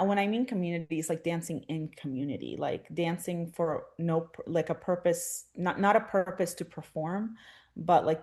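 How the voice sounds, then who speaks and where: A woman's voice: sits low, darkish tone. A young adult woman from Syria, a therapist, talking in a reflective, casual way with many fillers and restarts. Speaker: therapist, dance instructor guest. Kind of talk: casual interview conversation.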